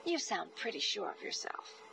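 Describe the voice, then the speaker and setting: Game antiheroine: defiant thief, sardonic, lively intonation, faint noise behind. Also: female voice, mid-range voice, moderate pace, vocal fry. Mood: defiant, scornful. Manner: sardonic, cool. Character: sassy, defiant female cat burglar. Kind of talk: video game voice acting.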